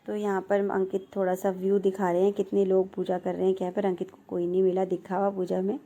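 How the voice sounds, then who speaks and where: A woman in her thirties from India, narrating her home vlog in a chatty, relaxed way, her throat slightly hoarse. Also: medium pitch, fast talker, muffled recording. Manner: chatty, relaxed, self-teasing. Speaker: vlogger. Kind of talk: casual voice-over narration.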